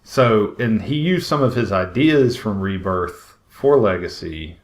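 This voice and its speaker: Male podcast co-host, low-pitched, opinionated, casual conversational delivery. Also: expressive, measured pace. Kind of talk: casual film discussion chat.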